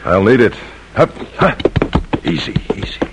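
A clearly noisy old radio drama recording carries a determined patriot rider. His voice is low, and he speaks in brisk, urgent, clipped phrases. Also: big pitch swings. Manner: terse, urgent.

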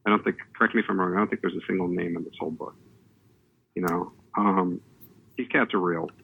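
A man's voice: low voice, fairly even intonation, a little fast, creaky, slightly rough. A man on a panel, talking in an earnest, rambling way with many restarts and "you know". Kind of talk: panel discussion. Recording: tinny phone-like line.

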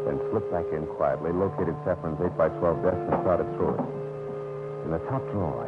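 An actor playing a wisecracking hard-boiled private eye, narrating in a dry, terse manner in a 1940s radio drama. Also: male voice, very deep voice. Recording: tinny old radio broadcast with music.

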